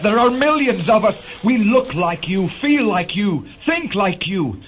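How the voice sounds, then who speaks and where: A man's voice: high-pitched, sing-song, unhurried. A man playing a desperate condemned prisoner in a radio drama, pleading fervently, on a dull vintage recording with slight noise. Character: desperate condemned underperson pleading for equal rights. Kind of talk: golden-age radio drama acting.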